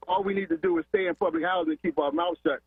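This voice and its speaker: Impassioned high-voiced man calling on the phone. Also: fairly even intonation, racing, slightly rough, no echo. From Philadelphia. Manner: impassioned, repeats words, rhetorical questions. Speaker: caller. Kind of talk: call-in radio rant. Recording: tinny phone line.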